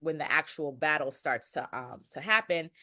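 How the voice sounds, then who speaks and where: Female podcast guest, slightly low voice, conversational history explainer who rephrases and circles back. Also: normal speed, pushed and bright.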